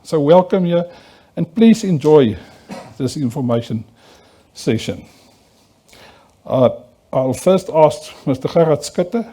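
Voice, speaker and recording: A man's voice: fairly high pitch, lively intonation, slow pace, long pauses, dark tone. Afrikaans-accented man chairing a conference. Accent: South African Afrikaans. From South Africa. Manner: repetitive, switches between Afrikaans and English. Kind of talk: formal welcome address. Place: conference auditorium.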